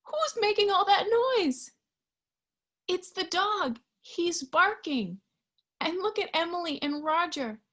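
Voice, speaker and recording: A woman reading a picture book aloud to young children, expressively, pausing to put questions to her listeners. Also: extremely high pitch. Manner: expressive, animated, with hushed asides and questions to listeners. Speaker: storybook reader.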